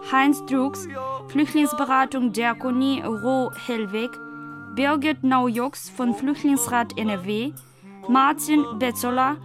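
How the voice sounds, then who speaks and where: Slow, high-voiced woman with music behind. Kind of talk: retelling a story and reading credits in a radio play. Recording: clear music bed behind voice.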